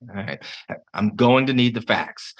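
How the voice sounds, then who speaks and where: An actor playing a shrewd, reluctant defense lawyer in 1950s radio style. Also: male voice, fairly low pitch, unhurried, muffled recording. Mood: brisk, reluctant.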